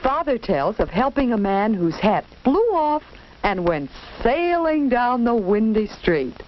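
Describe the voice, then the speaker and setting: A woman's voice: a little high, big pitch swings, measured pace, lo-fi sound. A woman narrating a 1940s educational film as a simple story for children, speaking directly to the listener in an instructive way. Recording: band-limited 1940s film soundtrack, background noise.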